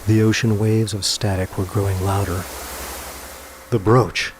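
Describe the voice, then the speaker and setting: A man's voice: fairly low pitch, flat delivery, normal speed, background noise. A man delivering scripted, dramatic story narration for a science fiction audio drama.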